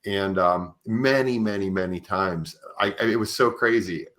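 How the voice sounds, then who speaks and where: A man hosting a conversational podcast, sharing anecdotes in a halting way with frequent "you know". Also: low voice, a bit bright. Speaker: podcast host. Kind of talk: conversational interview with personal anecdotes.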